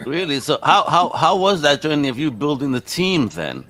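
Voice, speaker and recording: A male podcast host with a bright, forceful voice pitched a little high. He talks in a casual, slangy way, hyping things up with exclamatory enthusiasm as he chats. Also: sing-song, moderate pace. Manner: hype-man enthusiasm, exclamatory. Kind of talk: upbeat casual interview chat with slang.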